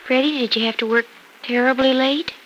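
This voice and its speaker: An American actress playing a gentle young wife who hides her worry in a soft-spoken, forbearing way, in 1940s radio soap style. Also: high-pitched, fairly even intonation, fairly quick. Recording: noisy vintage radio recording.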